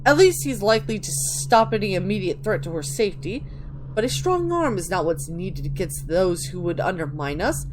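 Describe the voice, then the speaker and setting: A woman reads video game texts aloud in a theatrical, storytelling way. Her pitch is middling, and she trips on words now and then before picking the phrase back up. The recording has clear background noise. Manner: theatrical, stumbles over words and restarts. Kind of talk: dramatic reading of in-game books.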